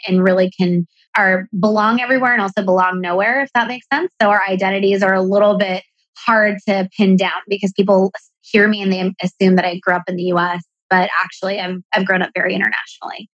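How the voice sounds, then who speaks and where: A woman's voice: medium pitch, fairly even intonation, a little fast, a bit bright, dry recording, muffled recording. A woman with an American accent, raised in Pakistan, chatting as a podcast guest, upbeat and explaining at length. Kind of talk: podcast interview.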